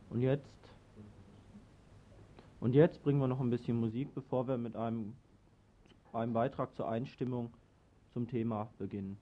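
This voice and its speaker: Man hosting radio, slightly muffled lo-fi sound. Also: medium pitch. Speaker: radio host. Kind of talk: station announcements and programme links. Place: radio studio. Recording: dull lo-fi 1980s radio recording.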